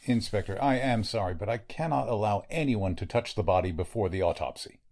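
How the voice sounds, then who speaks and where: Man acting a low, grave medical examiner. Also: a little fast, a little mellow. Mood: blunt, accusing. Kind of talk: 1940s radio drama acting.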